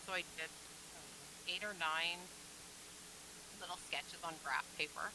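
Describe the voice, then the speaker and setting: Easygoing woman guest with a lower, bright voice. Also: a little slow, a little hiss or hum, rough recording. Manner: easygoing, hesitant, with frequent ums and likes. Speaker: guest quilt designer. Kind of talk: casual chat.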